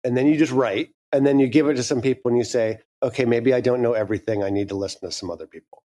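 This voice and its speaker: A man's voice: medium pitch, quick pace, warm tone. Frank, candid man in his fifties. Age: 50s. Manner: frank, candid, swears casually. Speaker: guest, audio drama creator. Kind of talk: casual interview chat.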